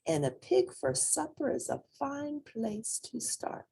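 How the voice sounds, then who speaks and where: A woman voicing a hungry, scheming big bad wolf in a storybook, coaxing and drawn-out. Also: on the high side, sing-song, gravelly, mellow, slightly rough, voice pushed up, heavily acted. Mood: sly, coaxing.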